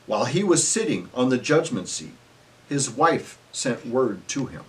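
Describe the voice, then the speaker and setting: A man narrates a dramatic scripture reading. His voice is mid-pitched and moves through a wide range, with solemn emphasis as the story unfolds. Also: big pitch swings, measured pace, rough recording.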